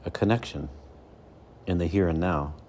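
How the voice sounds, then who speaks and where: A man leading a guided meditation as a coach, speaking slowly and soothingly with deliberate pauses between phrases. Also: deep voice, a little mellow.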